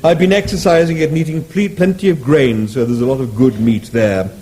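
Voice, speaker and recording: A man voicing a courteous male cow-like creature with a low, mellow lowing voice and an unctuous, polite waiter manner. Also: fairly even intonation, fairly quick, natural voice.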